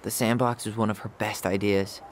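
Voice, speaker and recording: A man's voice: lowish voice, little pitch movement, moderate pace, slight background noise, lightly acted. An actor playing a wry, nostalgic young American man in naturalistic, casual video game dialogue. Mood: wistful, reflective. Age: young adult.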